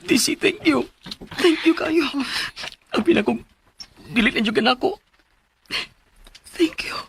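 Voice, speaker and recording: An actor with a Cebuano Filipino accent playing an emotional, lovesick man in his thirties in a radio drama, with a husky voice. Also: very high voice, lively intonation, unhurried, fairly bright tone, slight background noise, full character voice. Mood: overjoyed. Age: 30s. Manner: emotional, pleading, swooping delivery. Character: Manuel, lovesick pleading man of thirty-two, repentant cheater. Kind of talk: Philippine radio drama acting.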